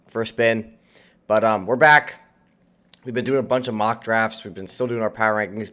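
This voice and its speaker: A man's voice: on the low side, a bit bright, clear, resonant tone. An American man with a nasal, congested voice, sick as he hosts, giving casual, emphatic fantasy football advice to viewers. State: sick with a cold. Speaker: host of a fantasy football channel.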